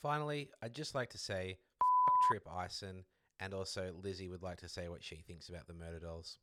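Low-voiced man leaving a voicemail. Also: huge pitch swings, a little fast, noisy background. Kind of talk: recorded voice message.

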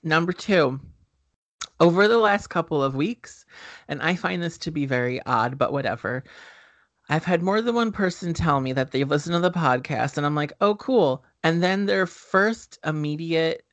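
A man co-hosting a casual podcast, talking in an expressive, animated way and laughing often. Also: on the high side, moderate pace, dry recording. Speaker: podcast co-host. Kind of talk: casual chat. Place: indoors.

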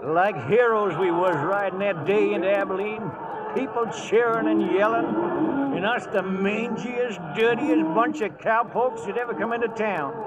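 An actor playing a folksy old cowhand who narrates a cattle drive in 1940s radio style, drawling and ungrammatical. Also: male voice, high-pitched, normal speed, heavy background noise, lightly acted. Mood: proud, storytelling. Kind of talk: dramatic first-person narration, 1940s radio. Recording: dull old radio, loud music underneath.

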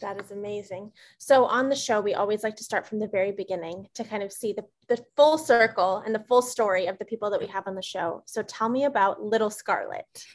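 A woman hosting an interview, asking questions and answering with quick, encouraging affirmations. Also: medium pitch. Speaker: podcast host.

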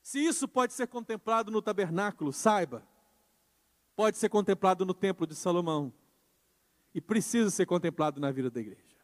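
A man preaching with a very high voice, his words ringing out in a church hall with long reverb. He drives his points home, dropping low and quiet, then rising and pressing louder. The sermon style is earnest, addressing the congregation directly. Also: expressive, moderate pace. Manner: emphatic preaching, swings from soft to loud. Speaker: pastor.

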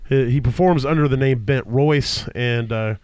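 A man hosts a casual podcast with a slightly congested, stuffy-nosed sound from a cold. His voice is smooth and resonant at an everyday pitch, close on the mic in a dry, dead basement. He rambles along with plenty of fillers and false starts. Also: normal speed, a little mellow, no echo. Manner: rambling with frequent fillers and restarts. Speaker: podcast host. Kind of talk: casual chat. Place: home basement. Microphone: podcast microphone.

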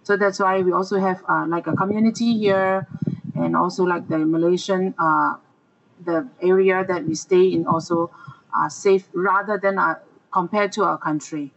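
A speaker from Myanmar, a refugee teacher, answering interview questions hesitantly while searching for words. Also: male voice, high-pitched, steady tone, moderate pace, vocal fry, a bit bright, muffled recording, a little hiss or hum.

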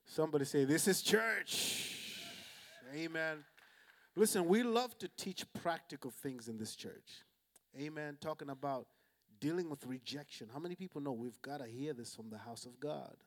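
A man's voice: on the high side, moderate pace. A man who is a pastor, calling out to the congregation and interviewing a guest in church. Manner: energetic, call-and-response preaching.

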